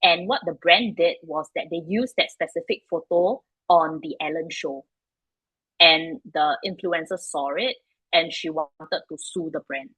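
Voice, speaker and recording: Bright, husky Singaporean woman, frank and chatty. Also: low-pitched, measured pace, no echo, low-quality recording. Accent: Singaporean English. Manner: frank, chatty, many fillers like "like" and "you know". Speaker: startup founder and guest. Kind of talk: casual interview answers.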